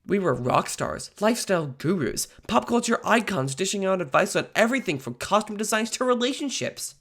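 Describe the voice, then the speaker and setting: Guy doing teenage amateur superhero, earnest n excitable, sharp edgy voice w/ lots of fry, comic dub. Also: high voice, brisk, full character voice. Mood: wry, excited narration. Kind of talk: comic book dub acting, first-person narration.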